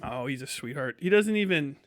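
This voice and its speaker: Higher-voiced man rambling on a livestream. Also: animated, brisk, no echo. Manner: profane, rambling. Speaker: livestream host. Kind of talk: casual livestream chat.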